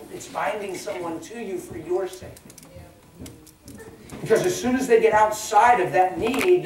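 An older man preaching a sermon in a folksy, animated way, swinging between loud and hushed, in a large indoor arena hall, picked up from far off by the camera. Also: high voice. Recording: echoey hall from far off, ambient noise.

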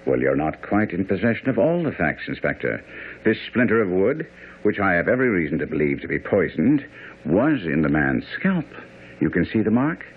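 A man's voice: low voice, sing-song, normal speed, breathy edge, heavily acted. A man acting as a self-assured master detective in a radio drama, precise, commanding and didactic. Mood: coolly correcting. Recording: muffled, noisy radio broadcast.

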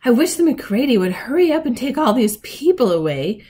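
A woman's voice: fairly high pitch, very animated, moderate pace, darkish tone, pitched above the performer's own voice. A woman voicing a sensible, fussy older sister in a storybook, prim and practical. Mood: uncomfortable.

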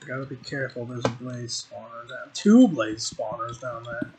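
A man's voice: mid-range voice, moderate pace, a little mellow. A young man giving casual, rambling commentary while playing a game, with frequent ums and restarts. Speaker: gaming video creator. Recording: noisy home recording with mouse clicks.

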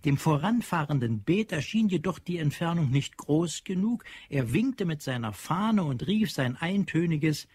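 A man's voice: a little high, expressive, unhurried, few pauses, darkish tone, husky. A man narrating a science fiction radio drama in a sober, scripted storytelling manner. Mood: measured.

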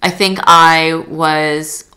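A woman's voice: deep voice, little pitch movement, extremely slow, pushed and bright. A young woman from Poland, a podcast guest talking candidly and chattily, with lots of fillers and restarts. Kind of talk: casual interview chat.

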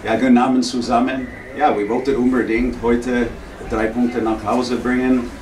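A man's voice: medium pitch, level tone, normal speed, darkish tone. A man in his forties, a hockey coach recapping a game in simple, non-native phrasing with English words mixed in. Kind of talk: post-game press conference recap. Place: indoor press conference room. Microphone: handheld microphone. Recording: echoey, noisy room, distant sound.

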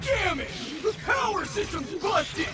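An actor playing a bombastic, cocky football star villain in a video game, loud and showy. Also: male voice, very high voice, sing-song, a little slow, over loud music, exaggerated voice. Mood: alarmed. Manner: shouting, showy.

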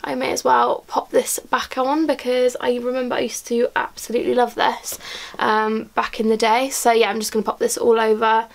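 A woman's voice: on the high side, little pitch movement, moderate pace, gravelly. Chatty young woman vlogging makeup. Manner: chatty, rambling, frequent ums and likes. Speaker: beauty vlogger. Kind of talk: casual chat while doing makeup. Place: small room indoors.

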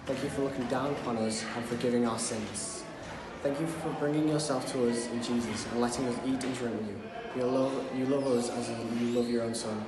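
A man's voice: medium pitch, steady tone, a little fast, over a noisy crowd. A teenage male student reading a prayer aloud. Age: teens. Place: indoor school room. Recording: echoey, distant mic, loud noise.

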